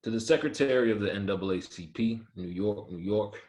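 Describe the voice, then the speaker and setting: A man playing an earnest, principled young Army soldier in a screenplay table read, measured and quietly firm. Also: lowish voice, lightly acted. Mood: reflective, earnest. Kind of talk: screenplay table read, first-person narration and dialogue.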